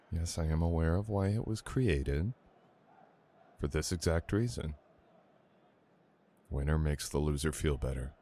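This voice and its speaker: Man acting as very deep-voiced teasing boyfriend. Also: full character voice. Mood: playful teasing. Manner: soft teasing, coaxing, unhurried. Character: sweet, teasing, doting boyfriend. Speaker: audio roleplay performer. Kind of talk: intimate ASMR boyfriend roleplay.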